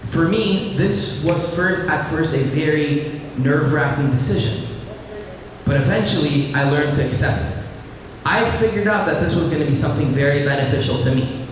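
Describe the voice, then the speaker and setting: A teenage boy from Iraq, raised in Lebanon, giving an earnest personal speech to an audience and telling his story at a measured pace. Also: fairly high pitch, a little hiss or hum. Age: teens. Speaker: student speaker. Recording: echoey hall, mic at a distance.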